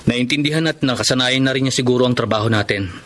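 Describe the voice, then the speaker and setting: A man's voice: mid-range voice, brisk, a bit bright, slight background noise, close to an everyday voice. A man acting a hardworking office worker and live-in boyfriend in a Tagalog radio drama, conversational and emotive. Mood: relaxed. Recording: music bed behind the voice.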